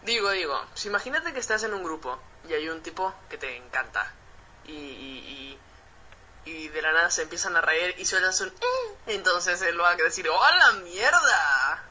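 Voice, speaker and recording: A man telling a story in an old clip, loud and laughing, in fast bursts. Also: high voice, sing-song, muffled recording, slight background noise. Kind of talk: animated casual storytelling.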